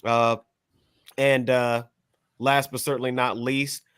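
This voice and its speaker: Male American panelist, slow-paced and casual, repeats short phrases, dry close-up sound. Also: mid-range voice, flat delivery, a bit bright, clear, resonant tone, no room sound. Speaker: podcast panelist. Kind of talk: casual chat.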